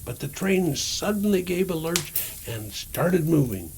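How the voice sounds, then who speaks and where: A man reading a children's story aloud in a theatrical, drawn-out way, as a Santa performer. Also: fairly high pitch, lively intonation, a little mellow, slight background noise, poor audio. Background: music.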